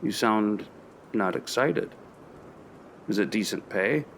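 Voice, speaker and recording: A man playing a sympathetic, level-headed professor in a naturalistic radio play, speaking in a measured, reassuring way. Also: on the low side, expressive, a bit bright, a little hiss or hum, exaggerated voice. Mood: gently curious. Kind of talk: naturalistic radio drama dialogue.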